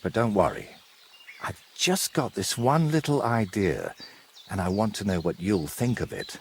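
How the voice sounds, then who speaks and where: An actor playing a brooding, secretive medieval painter in a historical video game, wry, teasing and evasive. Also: male voice, on the low side, sing-song, a little slow, creaky, background noise, heavily acted. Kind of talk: dramatic dialogue in a cinematic historical game.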